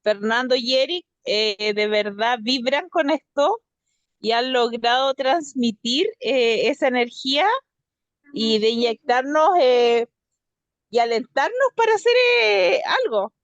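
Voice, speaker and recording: A woman's voice: a little high, animated, a little slow, no echo. A woman making conversational remarks, recorded with a slightly dull sound.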